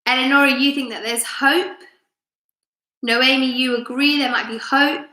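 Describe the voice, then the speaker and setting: Lady teacher doing live storytime, bright voice, bit high, expressive, roomy echo. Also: unhurried. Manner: expressive, asks listeners questions. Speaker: teacher reading aloud to children on a live stream. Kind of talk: live story time reading with chat to listeners. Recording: roomy, echoey room sound.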